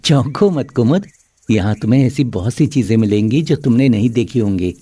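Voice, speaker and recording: A man acts out a protective young husband in a cartoon moral tale. He sounds earnest, with a soft, dark tone. The recording is dry and close. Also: mid-range voice, expressive, fairly quick, breathy edge, no echo. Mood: reassuring. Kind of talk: moral-story cartoon dialogue.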